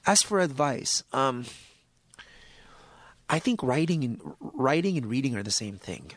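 A man whose native language is Tagalog, speaking as an author guest in a casual interview, animated and emphatic, often laughing. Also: mid-range voice, measured pace, no room sound. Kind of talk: casual conversation.

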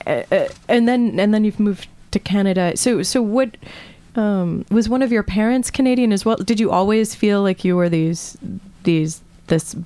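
A woman's voice: medium pitch, moderate pace, warm tone, no echo. A woman interviewing a guest in a friendly, chatty way, often breaking into laughs. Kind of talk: casual interview conversation.

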